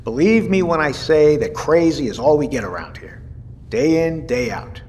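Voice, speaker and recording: An actor playing an exacting, fatherly navy captain in an audio drama, speaking in a formal, measured, matter-of-fact way. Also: fairly high pitch, expressive, a little mellow, natural voice. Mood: authoritative, calm. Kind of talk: naturalistic audio drama dialogue. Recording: long hall reverb, faint noise.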